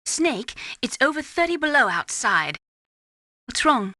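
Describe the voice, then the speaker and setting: An actress playing a composed, concerned military medical adviser in a video game, speaking in a measured, informative way. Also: female voice, high-pitched, very animated, bright, forceful tone, no echo, studio quality, heavily acted.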